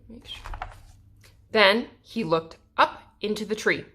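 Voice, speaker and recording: A woman reading a children's story aloud expressively, with deliberate pauses and dramatic emphasis. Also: medium pitch, a bit bright, breathy edge. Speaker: story reader.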